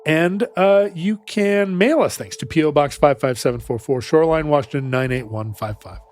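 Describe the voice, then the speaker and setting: A man's voice: fairly high pitch, normal speed, a little mellow. A middle-aged man co-hosting a podcast, bantering playfully and laughing often. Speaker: podcast co-host. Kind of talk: casual chat.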